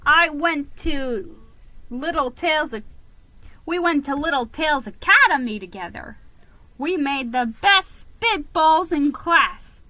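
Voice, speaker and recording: A woman's voice: high-pitched, expressive, slow, pushed and bright, voice pushed up, heavily acted, rough recording. A woman voicing a smug, boastful male mouse cousin who teases familiarly in a storybook reading. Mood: smug teasing. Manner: smirking, teasing, familiar.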